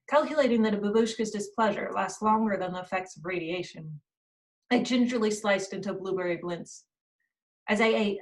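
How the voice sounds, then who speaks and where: A woman from California talks about her book on a video call, chatty and full of small fillers and restarts. Her voice is middle-pitched and sits a little back from the microphone. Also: distant mic, low-quality recording. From California, USA. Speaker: author and journalist. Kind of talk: book reading, then interview answers.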